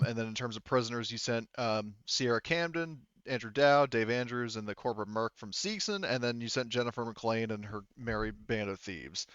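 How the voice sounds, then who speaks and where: A man's voice: mid-range voice, fairly bright tone, smooth, no room sound. A man acting as game master in casual tabletop recap and banter, on a slightly dull, dry microphone.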